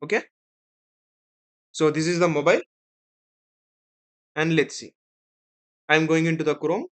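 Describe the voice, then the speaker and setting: A man's voice: a little high, measured pace. A man with an Indian accent giving a step-by-step tutorial as an instructor, explaining and often checking in with the listener. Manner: explanatory, checks in with "right?" and "okay".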